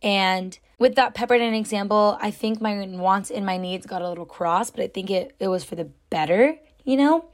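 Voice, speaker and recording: A young woman with a raspy, somewhat deep voice hosting her own podcast, talking loudly and rambling with lots of fillers. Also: moderate pace, few pauses. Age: young adult. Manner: chatty, loud, rambling with many fillers. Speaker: podcast host. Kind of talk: casual solo podcast talk.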